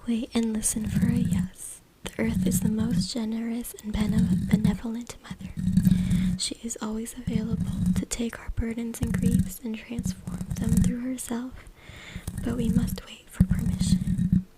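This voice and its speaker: A woman whispering breathily and softly in an ASMR style as she reads aloud, close to a USB microphone. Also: fairly high pitch, little pitch movement, unhurried. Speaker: ASMR content creator. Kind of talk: ASMR whispered reading from a book. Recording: very noisy close USB mic, clicks. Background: loud noise, tapping and scratching clicks.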